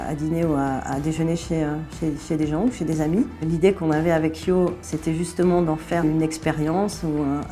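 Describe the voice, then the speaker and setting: A middle-aged French-born woman speaking with a Belgian accent, easygoing and full of small hesitations as she talks about her cooking. Her voice is mellow and a touch low, with soft music playing underneath. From France. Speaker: chef. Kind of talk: relaxed interview answers.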